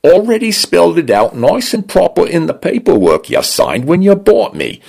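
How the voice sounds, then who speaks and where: A man's voice: a little high, wildly swooping pitch, normal speed, vocal fry, breathy edge, pitched above the performer's own voice, full character voice. Man doing a cheery Cockney smart home. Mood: lecturing. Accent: Cockney-leaning British, put on. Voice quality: bright, friendly, chatty.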